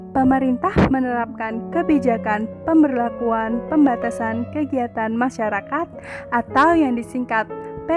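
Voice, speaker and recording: A young adult woman from Indonesia reads scripted narration as a student narrator. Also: fairly high pitch. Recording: music behind the voice. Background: background music.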